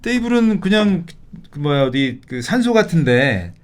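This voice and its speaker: A man from Daejeon, a reporter on a panel who thinks out loud while guessing at dialect words. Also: a little high, very animated, normal speed. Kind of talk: casual panel chat.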